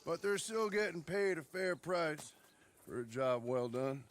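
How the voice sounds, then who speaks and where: An actor playing a smug, righteous Old West captor in a game, with a folksy, taunting, drawn-out manner. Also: male voice, a little high, lively intonation. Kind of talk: dramatic game cutscene acting.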